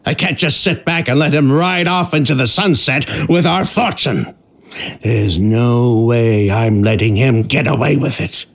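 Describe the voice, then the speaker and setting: A bitter, defiant young man. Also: medium pitch. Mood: scornful. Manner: slow, scornful. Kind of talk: video game voice acting.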